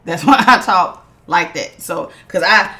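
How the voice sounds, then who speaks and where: A woman with a bright, forceful, projecting voice talks straight to her viewers as she reads tarot cards. Her delivery is emphatic and authoritative, and she doubles down on her points by repeating phrases. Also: fairly low pitch, unhurried. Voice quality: strong, projecting voice. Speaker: tarot reader. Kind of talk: casual tarot reading to viewers.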